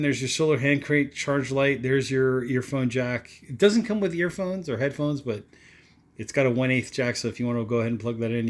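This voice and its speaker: A man giving a casual, unscripted product demonstration in a rambling, offhand way, often checking in with tag questions and repeating catchphrases. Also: medium pitch. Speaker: product reviewer.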